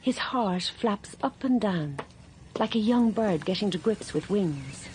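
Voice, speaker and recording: A woman narrating a radio drama in a measured, even storytelling manner. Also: mid-range voice, slight background noise, low-quality recording.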